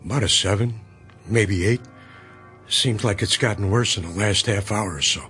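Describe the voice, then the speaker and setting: A man's voice: fairly low pitch, normal speed, pushed and bright, soft background music. A man acting in a radio drama as a plain-spoken, wry construction worker, laconic and casual. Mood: matter-of-fact, sore. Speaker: actor.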